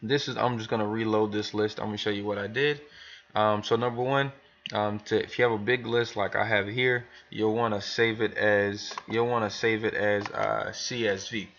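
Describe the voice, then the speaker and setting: Male trainer, lowish voice, informal and rambling step-by-step walkthrough, muffled lo-fi sound. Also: steady tone, normal speed, a bit bright. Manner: informal, rambling, thinking aloud. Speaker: business trainer demonstrating software to new hires. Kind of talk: casual screen tutorial, talking through steps.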